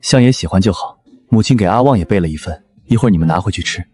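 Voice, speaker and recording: A man playing a sickly young prince, gentle in manner yet quietly scheming, in a costume audio drama. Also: fairly low pitch, expressive, fast talker, breathy edge. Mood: polite.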